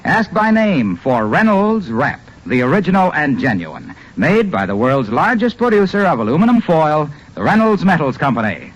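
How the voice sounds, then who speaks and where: An American man announcing a 1950s radio advertisement in a polished sales-pitch manner. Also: big pitch swings, normal speed, hardly any pauses, slight background noise. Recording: muffled old radio recording.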